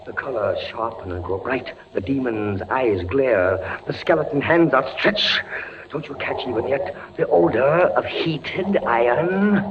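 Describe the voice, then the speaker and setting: An actor playing a tormented, terrified captive captain in a theatrical 1940s radio drama, with impassioned delivery. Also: male voice, mid-range voice, animated, unhurried, lightly acted. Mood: dread. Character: tormented male prisoner, a captain, desperate and terrified. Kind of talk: 1940s radio acting, dramatic first-person narration. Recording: muffled, noisy 1940s radio recording.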